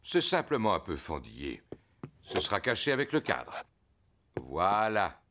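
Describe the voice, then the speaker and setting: A man's voice: sits low, wildly swooping pitch, unhurried, bright, forceful tone, a little hiss or hum, full character voice. A man voicing a cheerful, overconfident, bumbling father pig in a French children's cartoon, boastful and jovial. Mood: breezy. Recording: music behind the voice.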